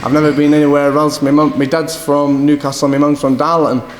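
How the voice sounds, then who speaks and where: Young boxer with a northeast English accent. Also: male voice, on the high side, flat delivery, fairly quick. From Scotland. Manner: rambling, repeats "do you know what I mean". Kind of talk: casual interview answers. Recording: echoey hall with faint background noise.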